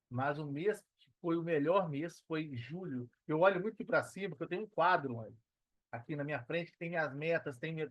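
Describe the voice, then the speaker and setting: A man's voice: on the high side, no echo, highs cut off. A Brazilian man talking casually as an interview guest, using slang and repeating phrases for emphasis. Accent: Brazilian Portuguese. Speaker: podcast guest, digital marketer.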